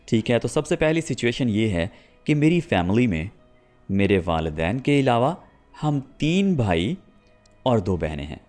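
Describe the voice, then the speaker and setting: Lively low-voiced male English teacher. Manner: explains patiently, slowly repeats example sentences. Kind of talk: spoken English lesson.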